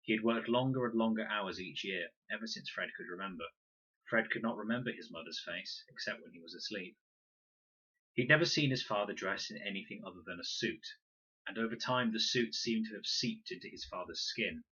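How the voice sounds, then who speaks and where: A man reading a children's novel aloud as expressive, deliberate scripted narration. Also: fairly low pitch, husky, muffled recording. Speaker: story reader, teacher.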